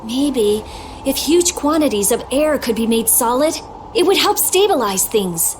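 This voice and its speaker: A woman's voice: high voice, expressive, measured pace, noisy background. A woman voicing an earnest, touchy youngster in a video game. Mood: thoughtful. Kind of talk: English video game dub acting.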